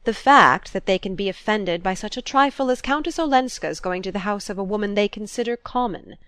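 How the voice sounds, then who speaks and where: A woman's voice: mid-range voice, fairly quick, a bit bright, smooth, no echo, close to an everyday voice. A woman reading a storybook as a proper, disapproving older society mother, genteel and insistent. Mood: indignant, disapproving.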